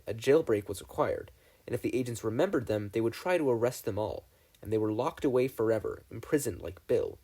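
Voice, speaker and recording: A male audiobook-style narrator reads scripted fiction. His voice is mid-pitched and soft-edged, with a lively, varied intonation. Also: husky. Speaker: podfic reader.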